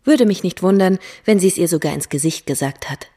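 A woman's voice: lowish voice, fairly quick, warm tone, a little hiss or hum, close to an everyday voice. A woman playing a gossipy, self-satisfied young woman in a radio drama, talkative and sure of herself. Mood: gossipy, pointed.

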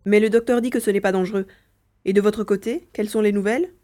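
A woman's voice: medium pitch, steady tone, fast talker, warm tone, close to an everyday voice. Echoey, earnest young hero played by a woman. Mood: concerned. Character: earnest, devoted young hero attached to an alien baby. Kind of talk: audio drama dialogue.

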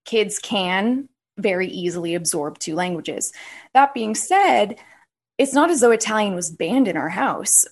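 A young woman from the United States, chatting expressively as an interview guest and laughing often. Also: on the low side. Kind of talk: casual interview chat.

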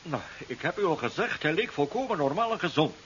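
Flustered physician, swooping pitch. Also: male voice, big pitch swings, fairly quick, fairly bright tone. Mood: uneasy, hesitant. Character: brusque, flustered male physician under pressure. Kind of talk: 1970s radio drama acting. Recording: dull, hissy 1970s radio recording.

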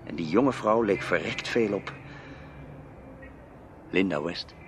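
A man's voice: sits low, slow. An actor playing a self-assured, probing man in a radio play, dry and pointed in his questions. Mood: serious, pressing. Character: Tim Valentine, probing, self-assured male acquaintance. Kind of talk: Dutch radio play acting. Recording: noisy old radio play recording.

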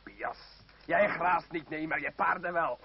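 An actor playing a gruff, bullying French army sergeant in a radio play, curt and scornful. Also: male voice, fairly high pitch, fairly even intonation, a little slow, crowd noise. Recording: muffled, noisy old radio play recording.

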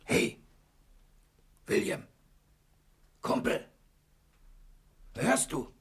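An actor playing a cunning, polite male prisoner in a German radio crime drama. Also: drawn-out words. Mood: conspiratorial. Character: cunning male prisoner feigning a dazed amnesiac, smooth-talking.

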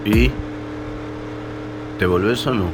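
A man's voice: lowish voice, takes it slowly, over music, poor audio. A young man playing an impatient, sulky troupe actor in a radio drama, insistent and complaining, with an Argentine accent.

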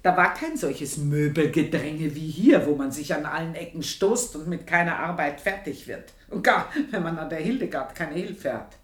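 An actress playing an older, passionate, scheming maidservant in a radio drama monologue, with an intense, deliberate, breathy delivery. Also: female voice, sits low, animated. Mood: resentful recollection.

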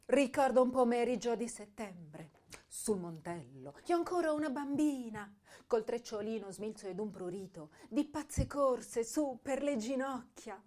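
An actress playing a tender, wounded young woman poet, reciting verse with hushed pauses and emotional swells. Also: fairly high pitch, expressive. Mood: wistful, restless. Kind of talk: dramatic poetry recitation.

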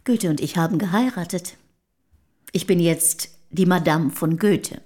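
An actress in a German radio play playing a proud, self-assured middle-aged wife of a poet, speaking in formal, measured period diction. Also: female voice, on the low side, darkish tone. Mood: cool, proud.

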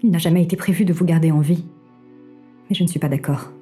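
An actress playing a devoted female AI assistant in a robot body, soft-spoken and politely formal in a French audio drama. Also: sits low, fast talker, faint music behind, lightly acted. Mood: resolute. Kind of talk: French audio drama acting, intimate dialogue.

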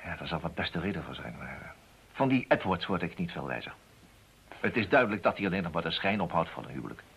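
An actor playing a methodical private detective in a radio play, measured and businesslike with a wry edge. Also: male voice, low voice, sing-song, vocal fry, a bit bright, slightly rough, full character voice. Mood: thoughtful. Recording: dull lo-fi old radio sound.

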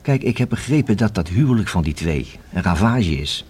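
Deep-voiced methodical police inspector. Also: male voice, expressive, darkish tone, husky. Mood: pensive, speculating. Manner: formal, deliberate, measured questioning. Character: methodical male police inspector, reasoning and probing. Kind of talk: radio drama acting. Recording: hissy old radio play recording.

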